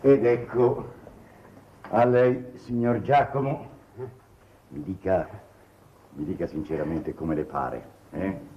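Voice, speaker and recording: An actor playing a genial, hearty older uncle in an old Italian television drama, with a jovial, affectionate manner. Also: male voice, deep voice, slow, long pauses. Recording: dull, faintly noisy 1950s TV soundtrack.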